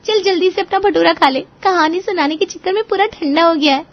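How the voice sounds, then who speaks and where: A woman's voice: extremely high pitch, fairly quick, muffled recording. A woman playing a blunt, scheming young female friend in a cartoon moral story. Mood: relieved.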